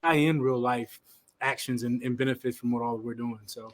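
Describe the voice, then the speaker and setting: A man's voice: mid-range voice, fairly even intonation, normal speed. A man co-hosting a talk show, chatting casually in laid-back, slangy phrases. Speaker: co-host. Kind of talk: casual chat.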